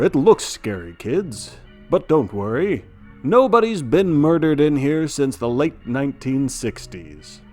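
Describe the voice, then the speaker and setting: Man doing an animated, gleeful funfair ad announcer. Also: on the high side. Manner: showman-like, emphatic, gleeful. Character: booming, cheery male amusement park commercial announcer. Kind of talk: retro theme park advertisement.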